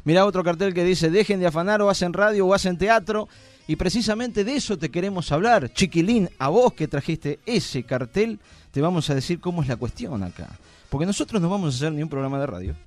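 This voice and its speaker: A man's voice: high-pitched, lively intonation, a little fast, faint music behind. A man with an Argentine accent hosting a live radio show, with a playful, joking way of talking. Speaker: radio host.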